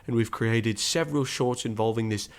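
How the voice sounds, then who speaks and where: A man voicing an eager yes-man research chief in a comedy radio play, brisk and fawning. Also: medium pitch, smooth, pitched below the performer's own voice, natural voice.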